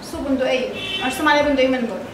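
A woman's voice: high-pitched, rapid-fire, music in the background. A young woman with an Egyptian Arabic accent chatting casually and animatedly with children as host of a family vlog, often checking they agree. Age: young adult. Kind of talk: casual excited chat with children. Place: indoor living room. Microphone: camera a few metres away. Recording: echoey room, distant mic, loud noise.

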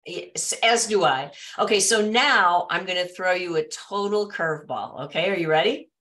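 A woman's voice: fairly low pitch, normal speed. A middle-aged woman hosting a podcast interview over a video call, speaking in an encouraging way. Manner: warm and encouraging. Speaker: podcast host. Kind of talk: casual interview talk. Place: home office with bookshelves.

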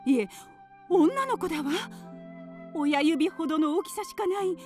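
An actress playing a gentle woman longing for a child, earnest and full of wonder, in a radio drama. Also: extremely high pitch, expressive, unhurried, soft background music.

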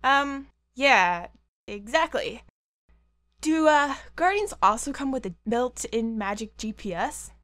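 A woman voicing a clever young male fox sidekick who thinks aloud in quick, technical remarks. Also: on the high side, animated, a bit bright, no echo. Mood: thoughtful.

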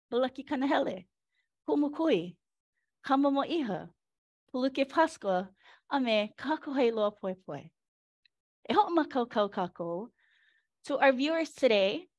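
A woman's voice: a little high, dry recording. A woman, hosting a webinar on a video call through a headset mic and reading an enthusiastic introduction mixed with phrases. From Hawaii. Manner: enthusiastic, lively. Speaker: webinar host. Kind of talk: scripted introduction with Hawaiian phrases. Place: home room on a video call.

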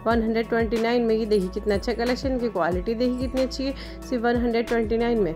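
A woman shopping vlogger walking through store aisles with her phone, pointing out products and prices in brisk, upbeat, salesy patter. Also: on the high side, fairly even intonation, music in the background. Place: indoor retail store aisles.

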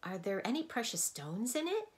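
A wide-eyed young boy in a storybook, as a woman reading aloud puts him on, asking question after question in a hushed, eager voice. He sounds a good deal higher than her own low reading voice. He speaks with a simple, earnest wonder. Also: sing-song, slow pace. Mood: curious.

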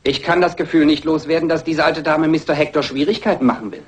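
A man's voice: a little high, fairly even intonation, fast, natural voice. An actor playing a prickly, suspicious, scheming man in a crime radio play, curt and insinuating. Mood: irritated, suspicious. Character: prickly, suspicious, scheming male art dealer.

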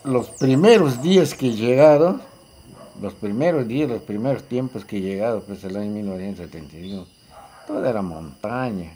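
An older man with a Peruvian accent recalling the past informally, haltingly and with repeated phrases. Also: lowish voice, lively intonation, mellow, slight background noise. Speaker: local resident giving testimony. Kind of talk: casual spoken reminiscence.

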